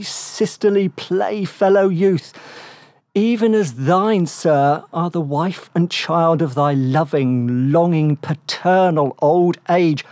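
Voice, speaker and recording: A woman's voice: lowish voice, a little mellow, dry recording, studio quality, voice pushed down. A woman voicing a loyal, homesick male first mate who implores earnestly in archaic phrasing, in an audiobook. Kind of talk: dramatic audiobook reading.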